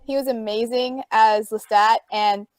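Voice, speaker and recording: Female panelist, fannish and informal, slightly high voice, close dry sound. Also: fairly even intonation, measured pace, a little mellow, clean tone, dry recording. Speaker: panelist in a group chat. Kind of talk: casual chat about favourite film quotes.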